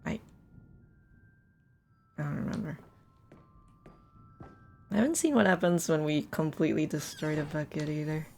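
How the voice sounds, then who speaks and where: A woman's voice: low voice, normal speed, creaky, long pauses, dark tone. A woman livestreaming a game, musing aloud and reacting with exasperation in casual commentary.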